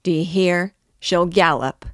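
A woman voicing a brutal, drunken young peasant man who shouts boastful, goading commands in an audiobook. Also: low voice, slow, no echo, clean studio sound. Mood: rowdy, goading. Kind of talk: audiobook character voice.

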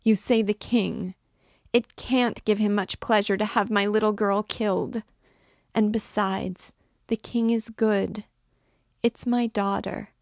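Woman acting desperate pleading mother, raised pitch. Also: fairly even intonation, slow, vocal fry, darkish tone, no echo, clean studio sound. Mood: anguished protest. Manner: pleading, emphatic, distraught. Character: desperate mother begging soldiers for her daughter's life. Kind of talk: dramatic audiobook character reading.

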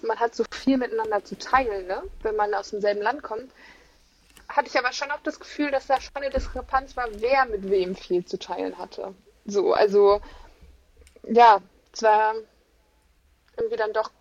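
A German woman in a casual conversation, talking in an animated but hesitant way with many fillers. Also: on the high side. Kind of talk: conversational chat. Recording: dull, lo-fi sound.

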